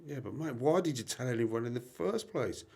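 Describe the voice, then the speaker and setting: Animated, blunt actor as a scolding male mate. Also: mid-range voice, moderate pace, creaky, husky. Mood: exasperated. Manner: blunt, exasperated, matey. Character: Clive, blunt, scolding but supportive male best mate. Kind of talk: amateur radio play acting.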